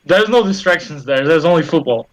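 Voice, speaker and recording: A man's voice: on the high side, lively intonation, rough recording. A male regular co-host chatting casually, repeating words as he talks. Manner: quick asides, repeats words. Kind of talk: casual chat.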